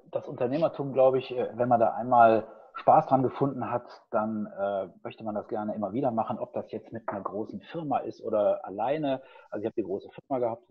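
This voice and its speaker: Husky middle-aged man on phone-like line. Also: mid-range voice. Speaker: podcast guest, keynote speaker and coach. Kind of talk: casual conversational interview answers.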